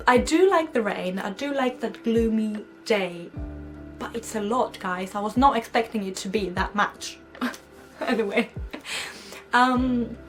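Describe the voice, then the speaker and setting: A woman's voice: a little high, measured pace, faint music behind. A young woman from Greece with a Greek accent, telling her story in a chatty, giggly vlog to camera. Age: young adult. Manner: chatty, giggly, animated quoting of herself. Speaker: vlogger. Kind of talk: casual storytime chat to camera.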